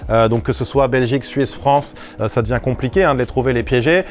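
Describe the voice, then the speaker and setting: A man hosting a prank show, chatting casually and with animation about making the series. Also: mid-range voice. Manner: animated, talks in quick bursts with restarts. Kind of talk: casual behind-the-scenes chat.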